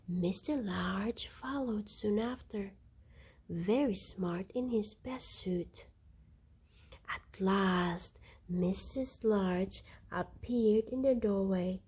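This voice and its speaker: Female storybook narrator, unhurried and very breathy, expressive read for young children. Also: medium pitch. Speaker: storybook reader.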